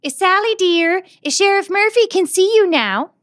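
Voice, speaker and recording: A woman's voice: very high-pitched, lively intonation, unhurried, a bit bright, heavily acted. A woman playing a sweet, chirpy police receptionist in an audio drama, with a polite singsong manner. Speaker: voice actor.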